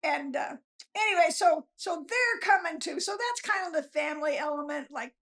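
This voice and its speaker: Very high-voiced, folksy woman author. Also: lively intonation, normal speed, fairly bright tone. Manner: self-deprecating, folksy, unpolished. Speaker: author being interviewed, guest. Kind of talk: casual, rambling storytelling chat.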